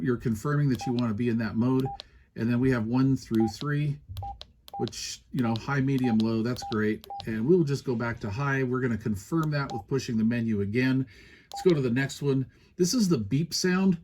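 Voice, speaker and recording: A man's voice: mid-range voice, a little mellow, slight background noise. A man giving a casual hands-on product demonstration as a reviewer, explaining things in a conversational way.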